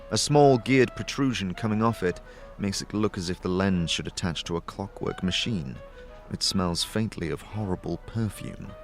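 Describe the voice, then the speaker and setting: A man narrating a fantasy adventure as a measured storyteller, in scripted second-person prose. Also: deep voice.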